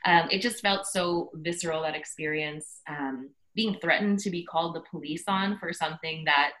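A woman with a Canadian accent speaks in a slightly low-pitched voice. She is an interview guest giving personal answers, reflective and working out her thoughts as she talks. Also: moderate pace, a bit bright, low-quality recording. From Canada, Toronto area. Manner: reflective, thinks aloud. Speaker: podcast guest, social impact worker.

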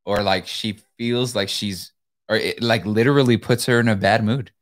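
A man's voice: on the low side, steady tone, moderate pace. A man in his mid-thirties, a guest guitarist, rambling hesitantly with many fillers. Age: 30s. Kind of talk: casual podcast chat.

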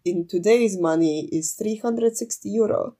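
A woman's voice: medium pitch, moderate pace, warm tone. A young adult woman co-hosting a podcast, chatting casually and off the cuff in a rambling, sweary, informal way. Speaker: podcast co-host. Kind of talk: casual unscripted chat.

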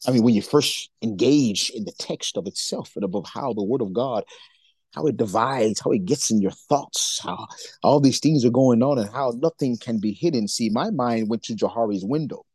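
A man from Nigeria co-hosting a faith-based podcast, talking in an animated, preachy way and acting out the voices he quotes. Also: mid-range voice, normal speed, slightly rough, no echo. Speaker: podcast co-host.

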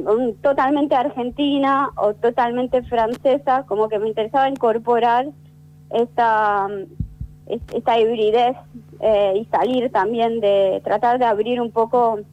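A woman whose first language is French answers interview questions in a fairly high, mellow voice. She talks slowly and thoughtfully, often breaking off and restarting. The recording sounds muffled and lo-fi. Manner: thoughtful, hesitant, many restarts and fillers. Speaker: interview guest, actress and writer. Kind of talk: conversational interview.

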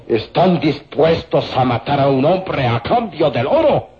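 An actor playing a corpulent, greedy villain in a radio serial, with a sly, taunting manner. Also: male voice, fairly high pitch, sing-song. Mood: menacing, tempting. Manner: sly, taunting, drawn-out delivery with menacing chuckles. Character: corpulent, greedy, sinister male villain hiring killers. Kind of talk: Spanish-language radio serial acting. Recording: dull, hissy old radio recording.

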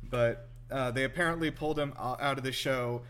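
A man's voice: mid-range voice, brisk, a bit bright. A man giving casual, joking reaction commentary over a film, swearing now and then. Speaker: host of a movie reaction show. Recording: film soundtrack playing behind. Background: movie audio with music.

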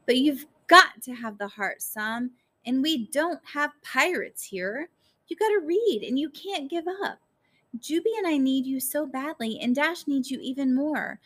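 A woman's voice: high voice, expressive, measured pace, sharp tone, pitched above the performer's own voice, full character voice. A woman voicing a determined, desperate young girl in an audiobook, insistent and urgent. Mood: urgent pleading.

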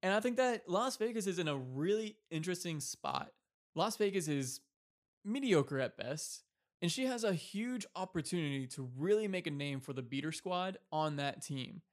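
A man's voice: high voice, animated, moderate pace. A man co-hosting a sports talk show, giving casual analysis of players and teams. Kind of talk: sports talk commentary on a fictional league.

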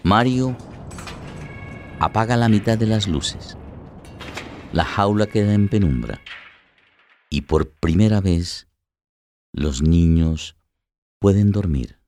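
A man's voice: low-pitched, lively intonation, slow pace, long pauses, a little mellow. A man narrating a scripted radio play in a dramatic storytelling style. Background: music with guitar.

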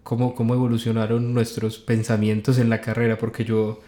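A man's voice: medium pitch, completely flat delivery, brisk, mellow, echoey room. A young man hosting a casual, easygoing podcast chat. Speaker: podcast host.

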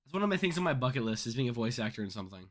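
A young man, a high school senior, chatting casually with friends, laid-back and laughing often. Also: sing-song, rapid-fire, a crowd in the background. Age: young adult. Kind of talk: casual chat among friends.

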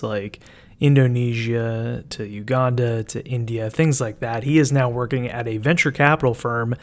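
Young male podcast host, mid-pitched, upbeat casual talker. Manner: upbeat, chatty, filler-heavy. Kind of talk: podcast intro and interview hosting.

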